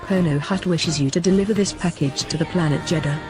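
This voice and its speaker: A man's voice: fairly high pitch, brisk, natural voice. Man as a formal go-between, music behind. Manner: stiff, formal, precise. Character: formal go-between relaying a crime lord's orders. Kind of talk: audio drama acting.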